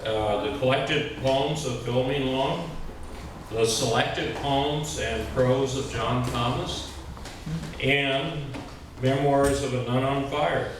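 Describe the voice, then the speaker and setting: Halting man hosting in a very echoey room. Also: medium pitch, steady tone, recorded from a distance. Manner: halting, frequent hesitations. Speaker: host introducing readers. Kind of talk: informal spoken introduction at a live event. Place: indoor reading event. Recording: echoey room from afar, noisy.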